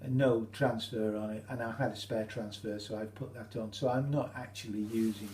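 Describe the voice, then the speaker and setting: A British man giving an informal, halting how-to demonstration, explaining each step as he goes. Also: fairly low pitch, steady tone, a little mellow. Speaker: hobbyist demonstrator. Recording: roomy echo, faint hum.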